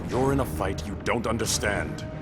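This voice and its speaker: A man's voice: fairly low pitch, moderate pace, close to an everyday voice. A man playing a proud, stern undersea king in a video game, speaking in a formal, haughty, commanding way. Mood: haughty, threatening. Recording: loud music behind.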